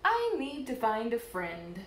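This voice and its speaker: A woman voicing a lonely, earnest little male fish in a picture book read-aloud, slow and expressive with exaggerated exclamations. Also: on the high side, roomy sound, a little hiss or hum, exaggerated voice. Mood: lonely, hopeful.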